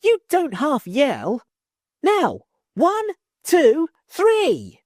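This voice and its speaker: A man voicing an excited young boy who shouts loud and slow from a church tower. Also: extremely high pitch, dry recording, studio quality, voice pushed up, full character voice. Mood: shouting.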